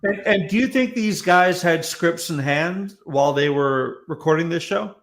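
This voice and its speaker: A man's voice: fairly high pitch, moderate pace. A man chatting casually as a talk show guest, a curious and reactive listener. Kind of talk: casual chat.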